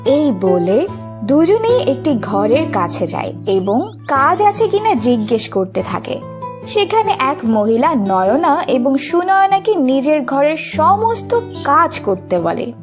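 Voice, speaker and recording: A woman narrates a children's fairy tale in a high voice with lively, swinging intonation. She reads the script at an unhurried, slow pace.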